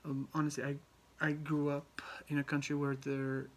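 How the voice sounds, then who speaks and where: Young guy from albania doing a school presentation, kinda flat, keeps going um and restarting. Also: fairly high pitch. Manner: halting, many ums and restarts. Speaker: student presenter. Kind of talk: class presentation.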